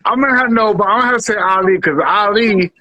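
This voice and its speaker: A man's voice: high-pitched, fast talker, sharp tone, no room sound, lo-fi sound. A man from Spanish Harlem, telling stories in a casual chat and laughing easily. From Spanish Harlem, New York. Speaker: podcast co-host.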